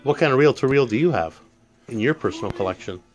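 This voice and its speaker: A man's voice: mid-range voice, lively intonation, fast, faint music behind. A man hosting from behind a handheld camera, casually chatting and asking questions indoors. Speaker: host filming and asking questions. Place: small room indoors.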